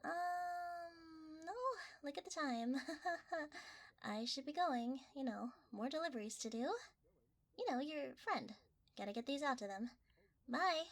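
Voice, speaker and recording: A woman playing a flustered, winded delivery worker in a hesitant, breathy ASMR roleplay. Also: high voice, lively intonation, unhurried. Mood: chatty, evasive. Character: flustered, winded young blanket delivery worker, chatty and shifty.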